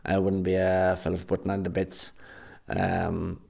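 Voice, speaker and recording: An Irish man, a radio drama actor, chatting in an interview with many pauses and fillers in a recording studio. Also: low-pitched, completely flat delivery, creaky, a little mellow. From Ireland. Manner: hesitant, many fillers and restarts. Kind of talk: casual interview chat.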